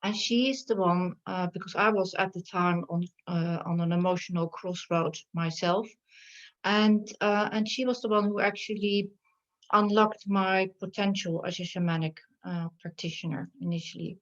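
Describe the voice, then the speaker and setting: A woman with a Dutch accent, a shamanic healer telling her story in an interview, pausing often with fillers. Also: on the low side, steady tone. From Netherlands. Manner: hesitant, many fillers. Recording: dull lo-fi sound.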